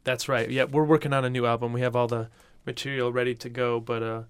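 A man with a smooth, clear voice talks as a guest on a radio show, friendly and relaxed, with plenty of fillers. The studio sound is dry. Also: medium pitch, fast, no echo. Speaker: touring musician, guest. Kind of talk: casual radio interview.

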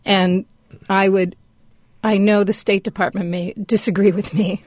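A woman speaks as a guest on a radio program in a dry studio sound. Her answers are conversational, measured and explanatory, at a medium pitch. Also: level tone, darkish tone, no echo.

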